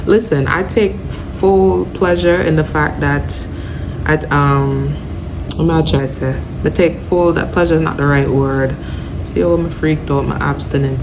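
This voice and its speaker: Casual YouTube vlogger, New York accent with Jamaican flavor, mid pitch, self-correcting, noisy home recording. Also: fairly even intonation, a little slow, vocal fry. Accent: New York with Jamaican touches. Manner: chatty, self-correcting, searches for words aloud. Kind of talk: casual direct-to-camera vlog.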